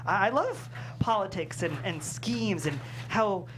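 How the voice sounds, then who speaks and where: An earnest, sweet young male intern acted by a man, anxious and halting. It is a comedy script read aloud, with a faint hum and noise in the room. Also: high voice, expressive. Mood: nervous, defensive.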